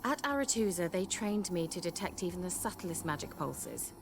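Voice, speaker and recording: An actress playing a vain, sharp-tongued sorceress in a video game, speaking in a haughty, wry, coaxing manner. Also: female voice, lowish voice, lively intonation, normal speed, background noise. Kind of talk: video game cutscene acting. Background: game soundtrack music.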